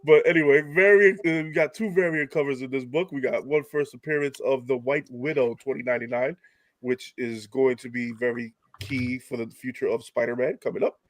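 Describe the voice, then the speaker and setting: A man's voice: mid-range voice, steady tone, fairly quick, no echo. A man hosting a podcast with a stuffed-up, congested voice. He talks loosely, laughs often and swears freely. The sound is close and dry. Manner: laughing, casual, profane. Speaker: podcast host. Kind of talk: casual podcast chat.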